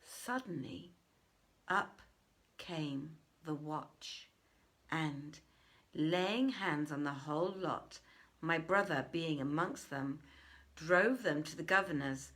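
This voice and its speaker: A woman reading an old tale as dramatic scripted narration, in a formal, deliberate, theatrical way. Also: low-pitched, fairly bright tone. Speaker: audiobook reader.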